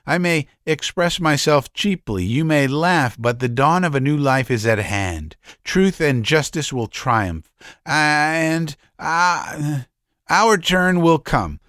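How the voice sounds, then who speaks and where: A man voicing an agitated young male asylum patient who is bitter and scornful, shouting and laughing mockingly. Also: a little high, animated, slow, dry recording, clean studio sound. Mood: ironic, fervent.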